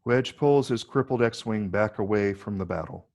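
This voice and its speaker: A man narrates a script's stage directions in a low register. His voice has a heavy gravelly fry as he reads out the scripted action. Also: moderate pace, a little mellow.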